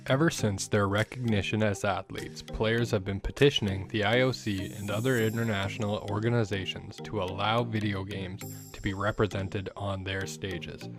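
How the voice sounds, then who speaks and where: Male documentary narrator, low register, scripted read over a clear music bed. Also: fairly even intonation, moderate pace, hardly any pauses.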